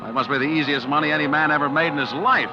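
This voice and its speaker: An actor playing a man who narrates his own crime in a 1940s radio drama, tense and halting. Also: fairly high pitch, close to an everyday voice. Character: male narrator who turns killer, jittery. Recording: dull old radio recording with music.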